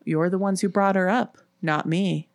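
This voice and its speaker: A woman's voice: on the low side, steady tone, normal speed, no room sound, clean studio sound, close to an everyday voice. Woman reading as assured, spooky-minded schoolgirl. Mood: shrugging. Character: knowing, confident schoolgirl who tells ghost lore.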